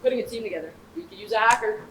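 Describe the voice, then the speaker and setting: A young woman acting a brisk, sly heist recruiter in a student stage comedy. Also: on the high side, a bit bright, natural voice. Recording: roomy stage from far off, faint noise.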